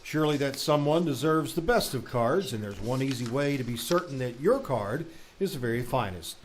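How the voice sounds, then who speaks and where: A man's voice: medium pitch, animated, normal speed, close to an everyday voice. A man working as a radio announcer, reading a sponsor message and story narration in 1940s style. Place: small theater stage. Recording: live stage recording, background noise.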